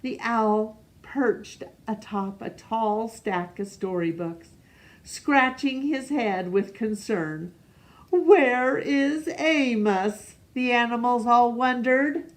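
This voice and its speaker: Slow-paced woman reading a children's storybook. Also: a little high. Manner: expressive, with pauses and questions to young listeners. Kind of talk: read-aloud picture book storytelling for young children.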